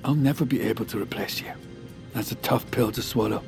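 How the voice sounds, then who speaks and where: A man's voice: mid-range voice, a little fast, creaky, lightly acted. Controlled, persuasive scientist played by a man, music beneath. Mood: clipped, insistent. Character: Finn, controlled, persuasive male scientist and inventor. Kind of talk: audio drama acting.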